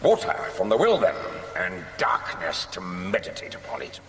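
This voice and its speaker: An actor playing a suave, menacing sorcerer villain in an audio drama, theatrical and mockingly polite with drawn-out threats. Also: male voice, low voice, huge pitch swings, faint music behind, exaggerated voice. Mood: mockingly genial.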